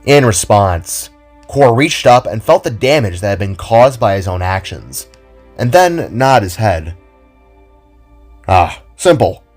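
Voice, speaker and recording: A man narrating an audio drama in an even, unhurried reading voice. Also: on the low side, faint music behind.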